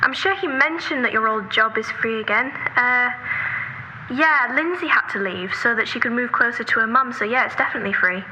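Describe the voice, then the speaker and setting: A woman's voice: a little high, a little fast, background noise, lightly acted. A woman acting an earnest, orderly, nagging sister in a naturalistic audio drama, exasperated but caring and persistent in her lecturing. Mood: coaxing.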